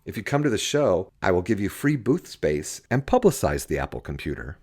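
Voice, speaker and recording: A man's voice: deep voice, big pitch swings, a little fast, a little mellow, heavily acted. A man playing a blunt, practical male computer store owner in an audiobook, firm and advising. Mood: helpful, firm.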